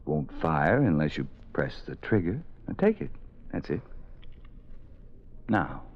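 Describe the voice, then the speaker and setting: A man's voice: rumbling low, big pitch swings, takes it slowly, heavily acted. A man playing a sinister writer who toys with a frightened woman at gunpoint, in a 1940s radio drama, on a dull old lo-fi recording. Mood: menacing. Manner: sinister, toying, swings from soft to sudden shouts. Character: menacing male writer holding a woman at gunpoint.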